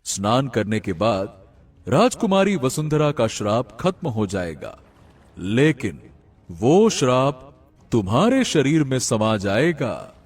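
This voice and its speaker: A man playing an imposing river god in an animated fairy tale, husky, grave and solemn. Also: mid-range voice. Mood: grave pronouncement. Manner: grave, deliberate, pronouncing.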